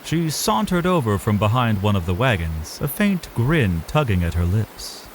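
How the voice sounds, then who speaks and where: A man's voice: lowish voice, very animated, normal speed, slight background noise. A man giving dramatic, scripted narration for a fantasy audio drama as its storyteller. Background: music.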